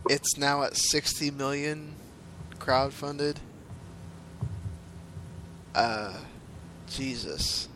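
A man's voice: medium pitch, drawn-out words, long pauses, bright, forceful tone, a little hiss or hum. A man leading a casual gaming podcast, throwing out topics in a loose, sarcastic way. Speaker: podcast host.

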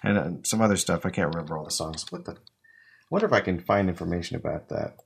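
A man's voice: low voice, sing-song, fast, gravelly, slight background noise. A man in his forties musing in a rambling, casual way as a podcast co-host. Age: 40s. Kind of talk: casual chat.

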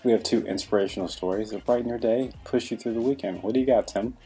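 A man's voice: on the low side, fast, dark tone, husky, faint music behind, rough recording. A man hosting a podcast, introducing the show and joking with his co-host in a casual way. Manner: jokey, prompts his co-host.

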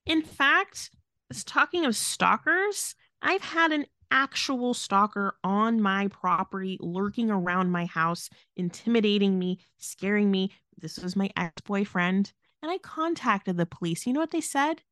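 A woman's voice: mid-range voice, animated, a little slow, a bit bright, dry recording, studio quality. A woman co-hosting a podcast in casual, opinionated chat, blunt, exasperated and emphatic. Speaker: podcast co-host.

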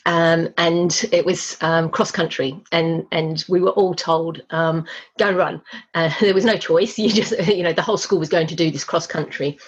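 A chatty woman with a husky, fairly low voice, raised in Australia and talking easily as a podcast guest. She laughs often and fills pauses with ums, and the audio comes through a bit dull and lo-fi. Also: fairly even intonation. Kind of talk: casual interview chat.

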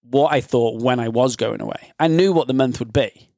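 A man's voice: mid-range voice, moderate pace, vocal fry, darkish tone, no echo, clean studio sound. A man hosting a podcast in an informal, bantering, chatty way. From North Wales, UK. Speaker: podcast host. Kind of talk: casual podcast chat.

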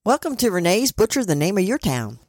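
A woman co-hosting a podcast, loud and chatty, moving between playful asides and reading from a script. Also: medium pitch, sing-song, fairly quick, dry recording, clean studio sound. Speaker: podcast co-host and spirit communicator. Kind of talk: casual chat and reading from a script.

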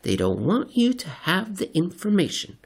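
A man's voice: high voice, wildly swooping pitch, normal speed, vocal fry, slightly rough, pitched above the performer's own voice, heavily acted. A man voicing a crazed, sleepless male conspiracy theorist in an audio story, rambling and earnestly paranoid. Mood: indignant, paranoid. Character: crazed sleepless conspiracy-obsessed male spaceship companion, manic.

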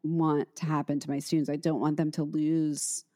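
A middle-aged woman teacher in casual conversation, speaking reflectively with frequent pauses and restarts. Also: low-pitched, level tone, warm tone. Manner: reflective, halting with many restarts.

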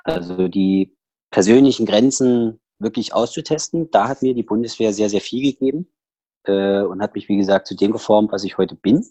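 Low-voiced man with a Saxon accent. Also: normal speed, dark tone, dry recording. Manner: rambling, many fillers and restarts. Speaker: podcast guest. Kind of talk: casual personal interview talk. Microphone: headset.

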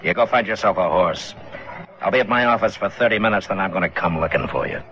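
An actor playing a stern, unyielding Old West marshal with an American accent, terse and blunt, in a 1950s radio western. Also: male voice, on the low side, fast, over loud music, close to an everyday voice. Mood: commanding. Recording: muffled old radio recording, faint noise.

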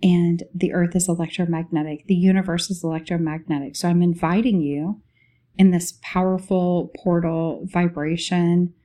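A woman leading a guided spiritual meditation as host, speaking in short, unhurried phrases with long pauses. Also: on the low side, fairly even intonation, mellow.

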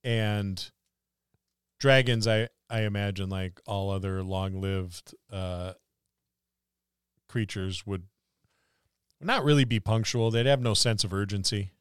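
A man's voice: on the low side, steady tone, slow pace, long pauses, clear, resonant tone, no echo, studio quality. A man co-hosting a gaming podcast, chatting informally and jokingly and slipping into imagined dialogue as he goes. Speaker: podcast co-host. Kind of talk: casual chat about tabletop gaming.

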